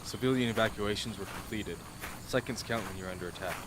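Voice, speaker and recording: A man playing a steady, matter-of-fact military officer in an amateur radio drama, blunt and businesslike. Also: lowish voice, fast, close to an everyday voice. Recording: clearly noisy outdoor-like ambience.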